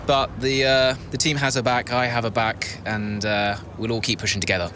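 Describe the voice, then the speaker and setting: An actor playing a loyal, steady racing driver in a video game drama, speaking in a measured, hesitant, earnest way. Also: male voice, mid-range voice, close to an everyday voice. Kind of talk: naturalistic video game cutscene acting. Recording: clear background ambience noise.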